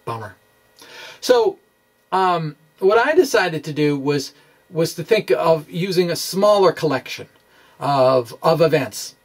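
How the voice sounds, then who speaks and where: Slow, halting man explaining physics. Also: a little high, sing-song. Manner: halting, self-correcting. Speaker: science video blogger. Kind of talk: informal physics explainer narrating animations.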